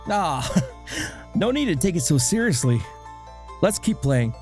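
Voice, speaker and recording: Guy doing a breezy cheerful cartoon dad, kinda high voice that jumps all over, music behind. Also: a little slow. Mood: playful. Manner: jovial, breezy, expressive. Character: cheerful, easygoing middle-aged dad who loves poker. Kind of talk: webcomic fan dub acting.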